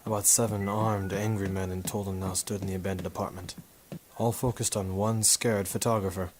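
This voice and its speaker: Over clear background noise a man plays a hard-boiled photographer-detective from a noir radio play. His voice is low and dry. He delivers his lines with cocky, wisecracking cool. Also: little pitch movement, brisk, close to an everyday voice. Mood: brooding narration. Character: wry, cocky male war-photographer turned detective, noir narrator. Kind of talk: 1950s noir radio drama acting and narration.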